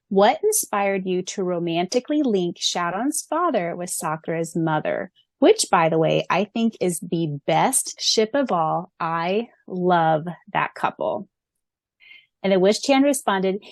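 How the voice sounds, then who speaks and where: A woman with a mid-pitched voice co-hosts a fan podcast. She is excitable and expressive, moving between casual chat and reading aloud. The sound is very dry, with no room echo. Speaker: podcast co-host.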